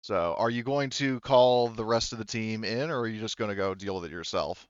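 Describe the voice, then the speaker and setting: A man with a fairly low voice works through a tabletop game scene as its game master. He talks haltingly, composing as he goes. The recording is dry and slightly muffled. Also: smooth, no room sound. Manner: halting, thinking aloud. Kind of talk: narrating a tabletop roleplaying game.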